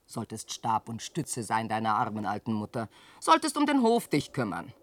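A woman's voice: rumbling low, wildly swooping pitch, normal speed, no echo, heavily acted. An actress playing an old peasant widow and mother who scolds and laments in declamatory verse in a radio drama. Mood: scolding. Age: older. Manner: declamatory, nagging and wailing, dramatic swings.

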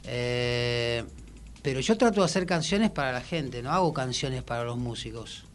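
A man with a Rioplatense Argentine accent talking casually and reflectively in a radio interview, with halting, colloquial phrasing. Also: medium pitch, lively intonation, a little hiss or hum. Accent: Rioplatense Argentine Spanish. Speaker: musician and songwriter guest.

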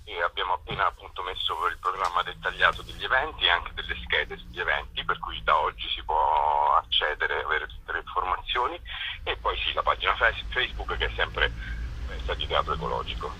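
A man's voice: low-pitched, a little fast, sharp tone, a little hiss or hum, poor audio. A man speaking informally in a radio interview over the phone, searching for his words as he explains. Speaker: festival co-director being interviewed.

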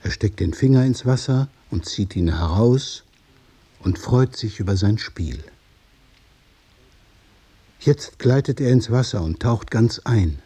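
A man narrating a literary radio play in measured, observational short phrases. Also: fairly low pitch, expressive, mellow, slightly rough, no room sound, heavily acted.

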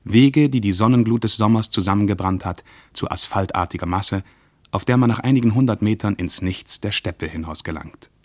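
An actor as a deep-voiced, brooding man remembering. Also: brisk, dry recording, close to an everyday voice. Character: man recalling wartime Russia, brooding and reflective. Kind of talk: literary radio play monologue.

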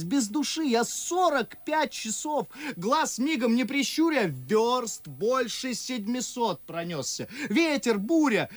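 Young lovestruck smart-mouth in an old verse radio play, voice jumping all over, super theatrical, very dry close sound. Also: male voice, high voice, no echo. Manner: theatrical, animated verse delivery. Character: young man in love, ardent, witty, mocking. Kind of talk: classic verse stage acting for radio. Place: radio studio.

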